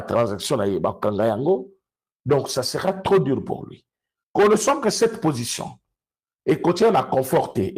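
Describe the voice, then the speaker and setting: A man with a Congolese accent and a husky voice, giving emphatic, unscripted political commentary and repeating phrases for stress. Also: fairly high pitch, darkish tone. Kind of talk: unscripted political commentary, switching between two languages.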